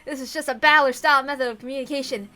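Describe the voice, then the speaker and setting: High, bright-voiced woman reading aloud. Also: steady tone, very fast. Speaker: reader of a visual novel. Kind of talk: expressive read-aloud with asides.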